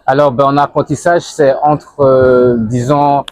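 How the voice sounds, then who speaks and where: A man's voice: medium pitch, little pitch movement, measured pace, darkish tone, dry recording. A young man giving casual interview answers, piecing them together with hesitations. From Zurich, Switzerland. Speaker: interviewee, banker and model.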